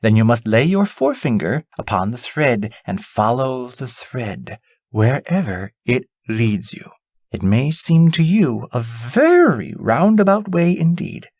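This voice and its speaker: A man voicing a very old, kindly lady in a storybook, giving instructions in a solemn, measured way. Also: medium pitch, big pitch swings, a little mellow, no echo, studio quality.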